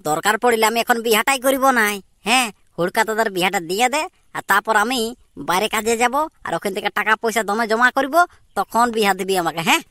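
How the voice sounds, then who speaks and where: A woman's voice: a little high, fast, fairly bright tone, no room sound, natural voice. A woman voicing an earnest, dutiful young village brother in a cartoon comedy, with a Purulia Bengali accent. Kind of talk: amateur cartoon comedy dialogue.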